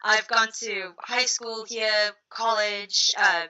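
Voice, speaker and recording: Very bright-voiced young woman from South Africa. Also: medium pitch, slow, dull audio. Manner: lively storytelling, laughs easily. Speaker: guest, media academic. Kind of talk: casual interview chat.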